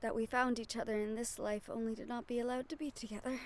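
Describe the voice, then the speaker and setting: A woman voicing a gentle, wistful young noblewoman in a romantic fantasy audio roleplay, speaking softly with formal, measured diction and tender pauses. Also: fairly high pitch, flat delivery, close to an everyday voice. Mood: wistful, regretful.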